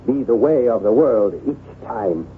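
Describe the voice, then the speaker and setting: A man's voice: mid-range voice, lively intonation, a little slow, natural voice. An actor playing a bitter, proud soldier nobleman set on revenge, brusque and brooding, in an old-time radio drama. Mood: bitter, aggrieved. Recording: noisy, tinny old radio broadcast.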